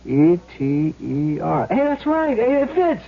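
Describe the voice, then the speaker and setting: A man's voice: high voice, sing-song, measured pace, creaky, heavily acted. An American actor playing a curious, easily impressed man in a 1970s radio spot. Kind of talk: 1970s radio public service dialogue. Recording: dull vintage radio broadcast.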